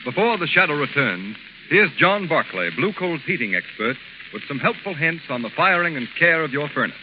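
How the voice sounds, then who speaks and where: A formal American male announcer with a fairly high, animated, emphatic delivery. It is a 1930s radio broadcast, dull and clearly hissy. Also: moderate pace.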